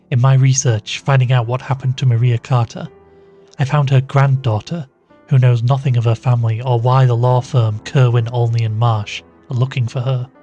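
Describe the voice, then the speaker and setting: A man playing an earnest investigator, gravely and deliberately recounting a dangerous occult case in an audio drama monologue. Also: mid-range voice, mellow, close to an everyday voice.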